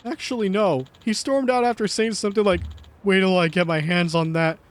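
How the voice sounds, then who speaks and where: A man's voice: sits high, steady tone, fairly quick, close to an everyday voice. A man voicing an anxious male office colleague who pleads earnestly. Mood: pleading.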